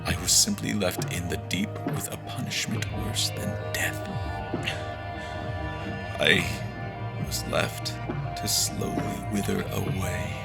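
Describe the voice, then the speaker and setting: An actor playing a troubled, desperate general in an audio drama, speaking in a halting, grave, intense manner. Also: male voice, low-pitched, fairly even intonation, creaky, exaggerated voice. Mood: anguished, haunted. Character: troubled, desperate newly-appointed general haunted by dreams. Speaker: actor narrating in first person and playing the lead. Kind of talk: dramatic audio fiction acting. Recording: loud music under the voice.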